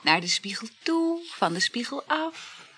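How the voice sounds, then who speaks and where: A flirtatious woman in a radio play, teasing and boastful about her lovers. Her voice is very breathy and husky, close and dry, with lively swings as she draws out words coyly. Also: a little high, measured pace, no room sound, exaggerated voice. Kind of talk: Dutch radio play acting.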